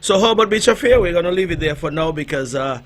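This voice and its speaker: A man's voice: fairly high pitch, lively intonation, quick pace. A man hosting a live radio show on a headset mic, chatty and laughing, with fillers and restarts. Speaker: radio host. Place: radio studio.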